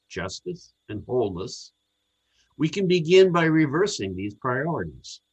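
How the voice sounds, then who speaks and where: A man reading a scripted sermon to a congregation in a measured, earnest, deliberate way. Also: medium pitch, breathy edge, rough recording. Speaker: congregational service speaker.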